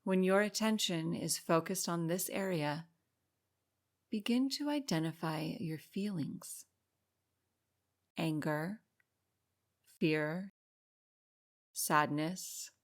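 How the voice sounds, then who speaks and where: A woman with a slightly low voice narrates a guided meditation. She speaks calmly and soothingly, giving unhurried instructions with room left between phrases. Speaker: meditation guide and podcast host.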